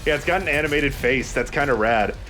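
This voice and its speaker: A man's voice: mid-range voice, brisk, sharp tone. A man hosting a let's play, chatting casually as he comments on the game. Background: game music.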